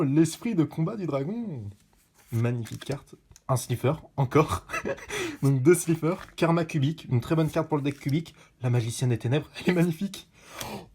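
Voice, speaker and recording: A man talks casually through opening card packs at a middle pitch, naming each card as it comes up. He is chatty and jumps to excited shouts at the good ones. Soft crinkling noise sits faintly behind him. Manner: chatty, bursts into excited high shouts at rare pulls. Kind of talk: casual unboxing commentary.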